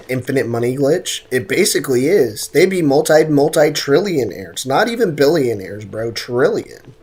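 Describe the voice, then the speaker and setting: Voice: mid-range voice, very animated, unhurried, darkish tone, husky. A game streamer giving casual livestream commentary in a joking, slangy way.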